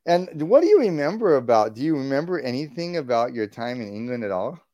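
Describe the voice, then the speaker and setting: A man's voice: medium pitch, very animated, fairly quick, warm tone, dry recording, dull audio. A man hosting a podcast interview, enthusiastic and rambling, restarting his sentences. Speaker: podcast host. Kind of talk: casual interview.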